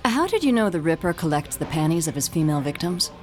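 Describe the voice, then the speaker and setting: An actress playing a weary, no-nonsense police detective in her thirties, blunt, deliberate and guarded. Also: low voice, big pitch swings. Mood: guarded, frank.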